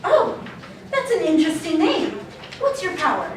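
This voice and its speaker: A woman acts out a high-voiced, expressive schoolteacher, sweetly patronizing and quick to exasperation. Her pitch swoops in comic fashion. The sound is distant, in a big echoey hall. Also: slight background noise, heavily acted. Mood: encouraging, brisk. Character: bubbly but exasperated young superhero schoolteacher. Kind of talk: live scripted comedy table read.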